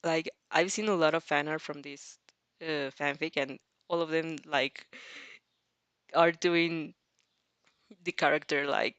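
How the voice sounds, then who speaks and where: A woman's voice: deep voice, steady tone, slow pace, long pauses, a bit bright, no echo. A woman hosting a fan fiction reaction, reading aloud expressively and breaking into casual, rambling, giggly asides. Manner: rambling, giggly, often interrupts herself with asides.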